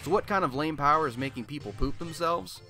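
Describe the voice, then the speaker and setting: A man's voice: a little high, quick pace, sharp tone, faint music behind. A man playing a chatty, wry radio host in a superhero city, telling scripted comic stories in a colloquial, theatrical way for a radio play. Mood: wry, upbeat.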